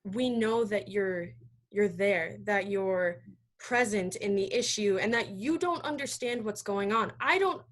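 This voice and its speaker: An American woman speaking earnestly and emphatically as a panelist in an impassioned discussion over a video call, halting now and then. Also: mid-range voice.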